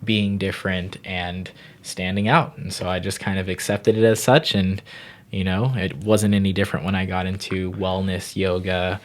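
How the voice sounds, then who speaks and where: Man, 30s, from Barbados; very low, even delivery, calm and reflective interview guest. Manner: unhurried, thoughtful, frequent "you know". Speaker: yoga teacher and wellness guest.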